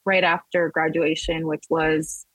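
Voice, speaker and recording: A woman's voice: deep voice, little pitch movement, measured pace, a bit bright, no echo, rough recording. A woman in her thirties, raised in Las Vegas, talking casually as an interview guest, wandering through her thoughts with restarts. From Texas, raised in Las Vegas. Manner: rambling, with many restarts and fillers. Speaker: guest artist.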